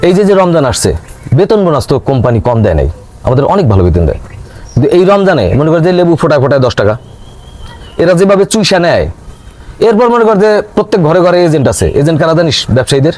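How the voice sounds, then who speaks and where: A man's voice: fairly high pitch, quick pace. A man with a Bangladeshi accent acting as a broke, aggrieved city office worker in a comic drama, grumbling to a friend in colloquial speech. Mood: animated grumbling. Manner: animated grumbling storyteller, colloquial and exasperated. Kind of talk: scripted comic drama dialogue.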